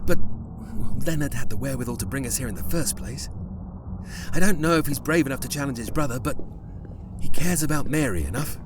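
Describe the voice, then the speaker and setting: An actor playing a cautious, exasperated priest in an audio drama, wary, fussy and argumentative. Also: male voice, medium pitch, animated, brisk, noisy background, lightly acted. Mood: worried, resigned.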